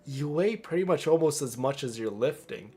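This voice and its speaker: A man's voice: on the high side, animated, normal speed, warm tone, breathy edge. A man streaming casually, talking in a boastful, rambling and repetitive way to his audience. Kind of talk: casual livestream chat.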